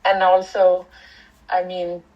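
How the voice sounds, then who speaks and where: A middle-aged woman with a Danish accent talks about her work in a reflective way, often pausing on fillers and restarting her sentences. Her voice sits fairly low. The recording is a little dull and lo-fi. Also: monotone. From Denmark. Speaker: author and anthropologist. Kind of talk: thoughtful interview answers.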